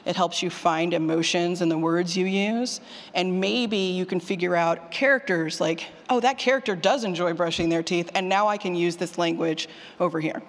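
A speaker giving an informal, animated conference talk on craft in the manner of a former teacher, emphatic and conversational. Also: mid-range voice, moderate pace. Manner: animated, informal, emphatic, repeats questions aloud. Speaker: speaker presenting a writing workshop, former college teacher. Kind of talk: conference talk with audience questions. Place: indoor conference session room or hall. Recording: hall reverb on a live talk.

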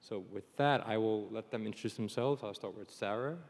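A man's voice: on the low side, brisk. A man in his thirties moderates a live panel informally, chatty and jokey. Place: on stage at a conference session. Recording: reverberant conference room sound.

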